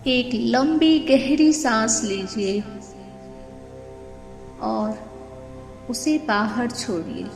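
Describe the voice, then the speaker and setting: A woman leading a guided meditation in a gentle, soothing manner, unhurried and leaving long pauses. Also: a little high, steady tone. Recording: echoey long reverb with music. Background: meditation music.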